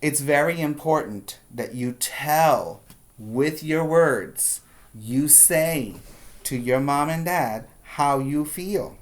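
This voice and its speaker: Slow-paced preschool teacher reading aloud. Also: medium pitch. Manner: deliberate, simple phrasing with repetition for emphasis. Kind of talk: storybook read-aloud with explanations for young children.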